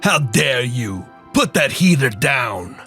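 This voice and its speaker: A man playing a coldly threatening, businesslike crime boss in a noir radio drama, speaking formally and deliberately. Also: medium pitch, very animated, pushed and bright, faint music behind, exaggerated voice. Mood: outraged.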